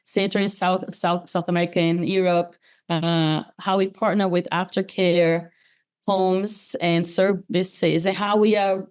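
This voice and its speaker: A woman's voice: on the low side, level tone, a little slow, darkish tone, smooth. A woman from Brazil with a Brazilian Portuguese accent, a guest on a podcast, speaking earnestly over a video call and often restarting words. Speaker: nonprofit development specialist guest. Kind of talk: podcast interview, personal story.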